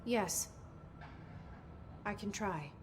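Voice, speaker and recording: An actress playing a determined young newcomer in a video game, speaking in a direct, reserved, clipped way. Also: female voice, medium pitch, animated, drawn-out words, background noise. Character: terse, determined young woman newcomer seeking answers. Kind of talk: game cutscene dialogue.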